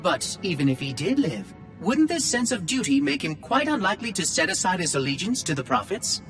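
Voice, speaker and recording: An actor playing an ancient machine oracle in a game cutscene, measured and cautionary. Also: male voice, high voice, big pitch swings, fairly bright tone, soft background music. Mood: grave. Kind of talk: video game cutscene acting.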